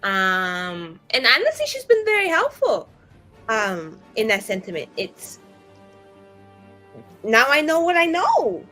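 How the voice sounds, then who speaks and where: A woman chatting in character as a player in a tabletop roleplaying game, animated, exclamatory and prone to swearing. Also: a little high, takes it slowly, pauses often, soft background music. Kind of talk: improvised casual roleplay chat.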